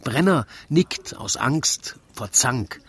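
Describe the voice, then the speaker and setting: A man's voice: mid-range voice, expressive, slow, a bit bright, husky. A man with an Austrian accent narrates a radio play in scripted rhyming verse, in a wry storytelling manner.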